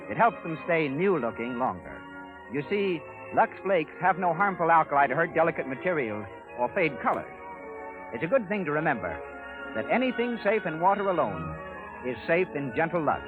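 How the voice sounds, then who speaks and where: Old-timey radio announcer guy, really animated pitch, polished salesman, tinny sound with music. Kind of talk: 1930s radio announcing and ad reading. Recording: tinny, band-limited 1930s radio broadcast.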